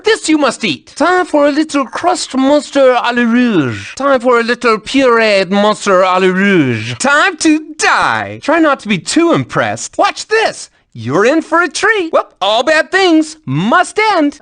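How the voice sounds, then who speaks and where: A woman's voice: high-pitched, fairly bright tone, full character voice. An actress playing a theatrical, snarky woman spellcaster trading sarcastic taunts in game battle lines.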